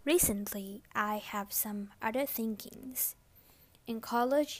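A young woman whose first language is Chinese speaks in a soft, earnest voice on a personal podcast. Her voice sits a little high, and the sound is dry and close, with no room around it. She talks in a reflective monologue that sounds lightly scripted. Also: no echo. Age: young adult. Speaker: podcast host.

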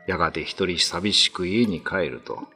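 A man's voice: sits low, expressive, moderate pace, pushed and bright. A man giving dramatic scripted narration of a classical ghost story as a radio drama. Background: background music.

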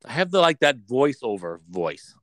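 A man's voice: mid-range voice, very animated, normal speed, a bit bright. A man co-hosting a casual podcast chat, joking around and slipping into a mock commercial-announcer voice. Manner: playful, slips into a mock ad-announcer voice. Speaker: podcast co-host.

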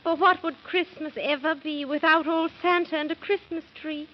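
A woman's voice: high-pitched, fairly even intonation, normal speed, natural voice. A woman playing a kindly, helpful fairytale queen who speaks in sing-song rhyme in a 1930s children's radio drama. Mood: kindly reassurance. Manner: sing-song rhyming, regal. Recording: muffled 1930s radio recording.

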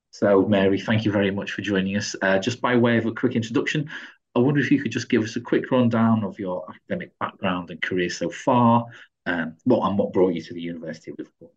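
A man hosting a university podcast, opening with a measured, scripted introduction. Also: lowish voice, slightly rough, highs cut off. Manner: measured, polite. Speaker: podcast host.

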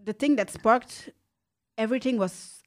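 A woman's voice: a little high, measured pace. A woman from Belgium with a Belgian Dutch accent and Dutch as her first language, telling her own story casually and animatedly, acting out quoted reactions. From Belgium, born in Rwanda. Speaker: podcast guest sharing personal experience.

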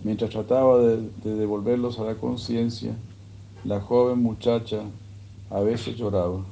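A man giving a sermon, retelling a scripture story in a slow, measured way. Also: fairly low pitch, level tone, creaky, mellow, muffled recording, a little hiss or hum. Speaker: religious speaker.

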